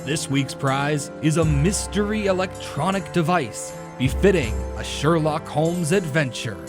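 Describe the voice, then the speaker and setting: A man's voice: fairly high pitch, unhurried, lightly acted. An actor playing a genial male radio announcer who hosts an audio theatre show and prompts its storyteller. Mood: upbeat, curious. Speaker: announcer and host. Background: music.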